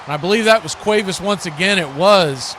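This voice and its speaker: An American man calling live football play-by-play in excitable, clipped phrases. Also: high voice, a little fast, slight background noise. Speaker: play-by-play announcer.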